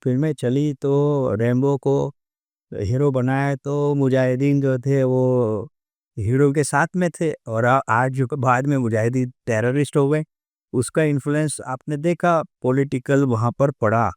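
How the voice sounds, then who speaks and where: An Indian man explains his views as a guest in a conversation. His voice is medium in pitch, very clean and resonant, with a dry, close sound. He is emphatic and circles back on key phrases. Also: fairly even intonation, measured pace, darkish tone, clear, resonant tone, dry recording. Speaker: interview guest, author.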